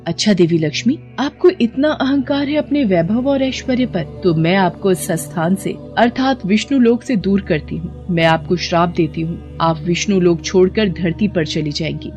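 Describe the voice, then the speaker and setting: A woman voicing a quick-tempered goddess of knowledge in an animated story, speaking in an accusing, indignant way. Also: on the low side, faint music behind, lightly acted.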